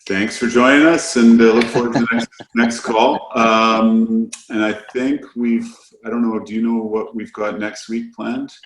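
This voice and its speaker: A man's voice: mid-range voice, flat delivery, a little slow, hardly any pauses, echoey room, low-quality recording. A man with a Canadian accent giving unscripted, conversational investment commentary in plain words as a portfolio manager on a conference call.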